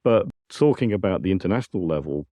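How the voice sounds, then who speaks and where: A middle-aged or older man with a British accent, answering interview questions in a measured, explanatory way with some hesitant repetition. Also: low voice, animated, dark tone, no echo. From United Kingdom. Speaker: technical director, interview guest.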